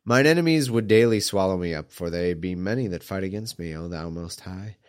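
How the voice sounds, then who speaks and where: A congested man reading old scripture aloud at an even pace, breaking off for mocking, irreverent asides. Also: sits low, a little fast. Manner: irreverent, mocking, chuckles at the text.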